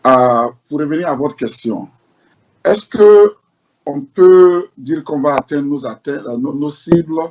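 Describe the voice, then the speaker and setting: A man from Côte d'Ivoire answering interview questions as a protest organizer, measured and using fillers. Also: fairly high pitch, darkish tone, highs cut off.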